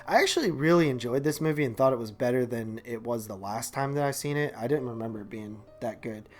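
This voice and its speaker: A man's voice: medium pitch, expressive, fast talker, soft background music. A man co-hosting a podcast, reviewing movies in a casual, rambling, slangy way. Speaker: podcast co-host.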